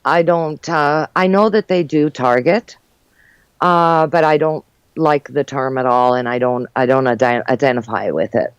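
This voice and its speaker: An older woman talks through her life story in a loose, chatty way, full of little fillers. Her voice is smooth and clear, but it comes through a dry, dull, muffled line. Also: extremely low pitch, measured pace, dry recording. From Uruguay, raised in New Jersey and New York. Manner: chatty, warm storytelling with frequent fillers and laughs. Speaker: guest. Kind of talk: casual interview chat.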